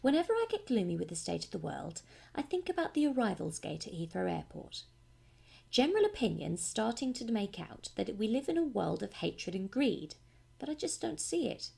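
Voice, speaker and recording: A woman delivers scripted narration like an audiobook. Her storytelling is measured and expressive, in a mid-pitched voice with a husky, creaky texture. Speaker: voice actor narrating demo reel.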